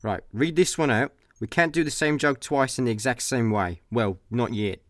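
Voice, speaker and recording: A man's voice: mid-range voice, moderate pace, dry recording, studio quality, lightly acted. A man with a Scottish accent playing a laid-back, dim, easily distracted slacker in a comedy sci-fi radio play. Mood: offhand. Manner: casual, offhand.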